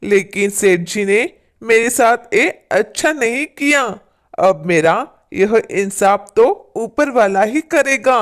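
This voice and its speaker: A woman's voice: on the high side, lively intonation, slow. A woman voicing a poor, humble male farmer in a storybook tale, pleading and lamenting in sorrow. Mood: sorrowful. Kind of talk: storybook character acting.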